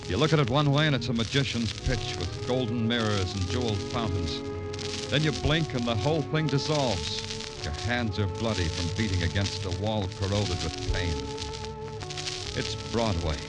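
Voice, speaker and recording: Radio noir lead, male actor: lowish, hard-boiled homicide cop, dry and unruffled, vintage recording with prominent music. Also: moderate pace, natural voice, low-quality recording. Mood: brooding, poetic. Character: hardened, wry, cool New York homicide detective. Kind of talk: 1940s-50s radio noir acting and narration.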